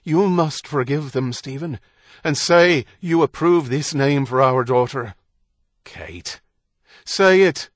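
A man's voice: a little high, animated, a little slow, no room sound, studio quality, voice pushed up. Man voicing a fierce, pleading young Irish mother. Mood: pleading, urgent. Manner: firm, insistent.

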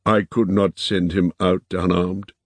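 Man playing a slow, solemn old lord, low-voiced. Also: level tone, breathy edge, no echo. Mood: grave, sad. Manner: slow, grave, measured.

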